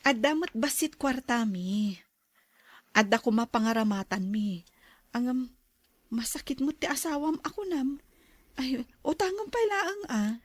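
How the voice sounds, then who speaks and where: A woman acts out a village farm wife in a radio drama, kind at heart and emphatic in her grievances. Her voice is high-pitched, in a dry recording. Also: expressive, measured pace, long pauses, no room sound. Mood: concerned, candid.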